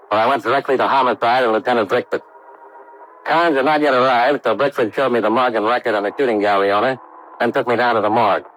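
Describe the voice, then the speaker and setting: Man acting hard-boiled investigator, American accent, mid-pitched, clipped narration, matter-of-fact, vintage radio detective drama. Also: a bit bright, close to an everyday voice, low-quality recording. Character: brisk, hard-boiled insurance investigator narrating his case. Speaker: lead actor and narrator.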